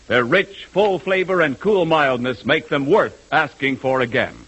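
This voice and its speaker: A man's voice: on the high side, measured pace, a bit bright, breathy edge, rough recording. A man announcing in the style of a 1940s radio advertisement, emphatic and declamatory, on an old broadcast recording. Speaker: radio announcer, sponsor pitchman.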